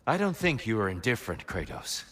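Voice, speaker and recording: Low-voiced, expressive wise mentor god. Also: male voice. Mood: gentle counsel. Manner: measured, gentle, earnest, philosophical. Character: wise, calm male mentor god, philosophical sparring partner. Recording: noisy game ambience behind voice.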